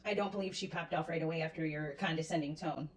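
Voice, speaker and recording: A woman's voice: deep voice, fast, distant mic, low-quality recording. A woman giving critical reaction commentary in a sarcastic, disapproving manner. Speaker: commentator reacting to clips of a streamer.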